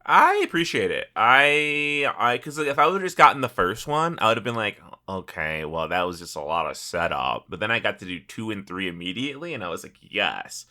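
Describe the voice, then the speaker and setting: A man with a slightly low, forceful and bright voice co-hosts a casual gaming podcast. His pitch swings in a lively way, and he talks informally with a joking bent. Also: normal speed, hardly any pauses. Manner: informal, jokey. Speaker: podcast co-host. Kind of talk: casual chat about a video game.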